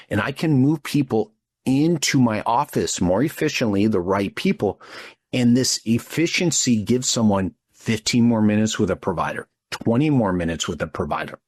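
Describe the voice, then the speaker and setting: A man in his fifties with a fairly low, husky voice, close and dry. He talks in a blunt, informal way as a guest giving opinions, often tagging his points with a questioning check. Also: a little mellow, no echo. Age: 50s. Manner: blunt, informal, punctuates points with "right?" and "dude". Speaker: interview guest, front desk trainer. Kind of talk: casual, animated opinion talk.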